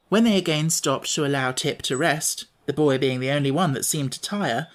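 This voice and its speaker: A man reading a children's fantasy audiobook aloud in a steady, measured way. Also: fairly high pitch. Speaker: audiobook narrator. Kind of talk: scripted storybook narration.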